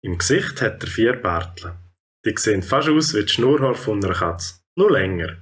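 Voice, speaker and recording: A man with a Swiss German accent narrating a children's story in an expressive storytelling way. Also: lowish voice, normal speed, slightly rough.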